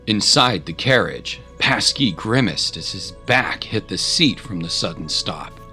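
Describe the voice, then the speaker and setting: A man's voice: fairly low pitch, lively intonation, a little slow, bright, forceful tone. A man narrating a scripted adventure story in a dramatic style. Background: music.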